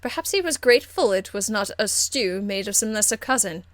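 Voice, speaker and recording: A woman's voice: mid-range voice, animated, a little fast, dry recording, lightly acted. Haughty noblewoman mage for a fantasy game played by a woman: formal, condescending, icily courteous, dry close recording. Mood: cold condescension. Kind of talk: video game character acting.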